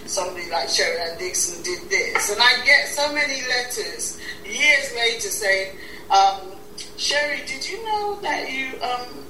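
A woman's voice: fairly high pitch, very animated, a little slow, bright, forceful tone, reverberant, recorded from a distance, faint music behind, rough recording. A woman in her sixties, from Guyana, speaking reflectively as a guest in an interview and often repeating words as she starts a thought. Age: 60s. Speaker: talk show host, interview guest.

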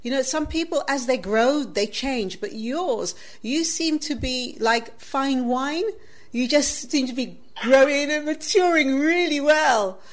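A woman's voice: sits high, a little slow. A woman interviewing a guest she admires, asking questions and offering praise in a conversational way.

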